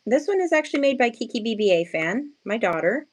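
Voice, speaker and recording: Slightly hoarse woman, casual live-sale chat. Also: on the high side, very animated, a little fast, slight background noise. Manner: self-deprecating, playful slangy wording; chatty, greets and thanks viewers often. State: just woken up, sleepy.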